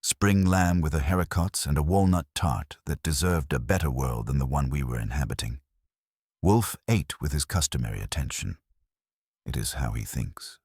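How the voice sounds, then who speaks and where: A man reading a scripted first-person detective story in a wry, measured way. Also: a bass voice, expressive, darkish tone, breathy edge, no room sound, studio quality. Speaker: audiobook narrator.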